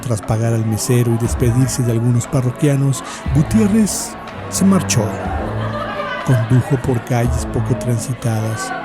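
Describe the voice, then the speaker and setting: A man narrates a scripted horror story at a medium pitch. His delivery is measured and solemn, with dramatic pauses, and music plays clearly behind him. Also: a loud crowd.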